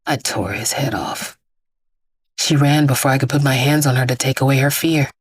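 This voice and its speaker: A woman playing an immortal vigilante bag lady, a grieving mother, in a deliberate, solemn audio drama monologue. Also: a bass voice, husky, no room sound, studio quality. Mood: grim, solemn. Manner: deliberate, solemn, incantatory storytelling with repeated phrases.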